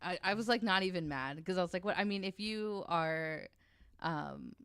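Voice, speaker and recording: A woman's voice: fairly low pitch, moderate pace, gravelly, fairly bright tone, slight background noise. A woman chatting casually as a podcast panelist, blunt and sweary, rambling a little and poking fun at herself.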